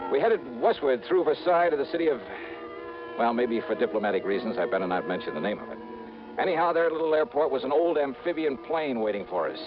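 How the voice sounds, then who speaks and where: A man's voice: medium pitch, close to an everyday voice. Hardboiled 1950s radio detective, played by a man. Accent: American. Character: hardboiled male freelance insurance investigator, brash, impatient, wry. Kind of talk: 1950s radio drama acting and first-person narration. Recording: dull vintage radio recording with music.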